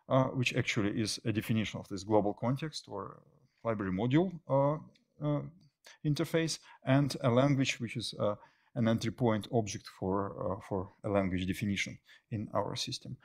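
A middle-aged man presenting technical material as a conference speaker, often pausing mid-phrase. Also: mid-range voice, a little mellow. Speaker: conference speaker, software engineer. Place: indoor stage at a tech meetup.

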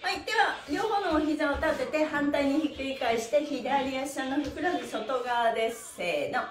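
A woman's voice: high voice, normal speed. A middle-aged woman leading a livestreamed stretching class, cheerfully calling out instructions and rhythmic chanted counts. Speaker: stretching instructor and pantomime teacher. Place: small indoor studio with bare wall. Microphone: camera a few metres away. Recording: roomy, distant microphone, faint music.

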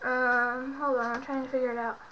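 A woman's voice: high voice, little pitch movement, fairly quick, slight background noise. A young woman who is sick and sounds congested, chatting casually and rambling with lots of fillers in a bedroom, recorded on a webcam. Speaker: beauty vlogger. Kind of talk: casual product show-and-tell. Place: bedroom at home. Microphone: built-in webcam mic. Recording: muffled lo-fi webcam audio.

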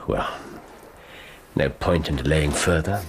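A sardonic detective. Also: male voice, rumbling low, takes it slowly, heavy background noise, exaggerated voice. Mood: weary. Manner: dry, clipped, sardonic. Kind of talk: 1990s radio drama acting.

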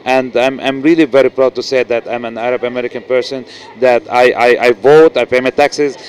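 Casting: middle-aged Lebanese-accented man, halting, sincere interview guest, mid pitch, faint room hum. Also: flat delivery. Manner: earnest, halting with many restarts and fillers. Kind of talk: casual interview. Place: noisy indoor event venue. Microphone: handheld mic.

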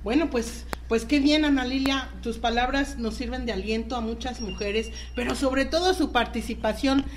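Mexican lady radio host chatting away, highish voice, bit of noise. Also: normal speed, few pauses. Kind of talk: chatty radio show hosting.

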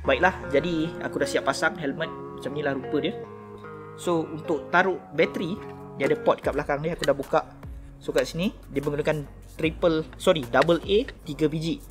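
A man's voice: on the high side, steady tone, a little fast, music in the background. A Malaysian man in his thirties giving casual, slangy unboxing commentary, recorded outdoors at a table mic. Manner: informal, slangy Malay mixed with English words. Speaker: toy review vlogger. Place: outdoor garden patio of a house. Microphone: camera-style shotgun mic on small tripod at the table.

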